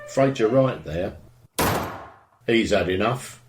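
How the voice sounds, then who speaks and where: An actor playing a grumbling, indignant middle-aged suburban husband in an audio drama, with an exasperated way of talking. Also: male voice, lowish voice, animated, slow, music in the background. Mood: disgruntled. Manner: grumbling, exasperated, mild swearing.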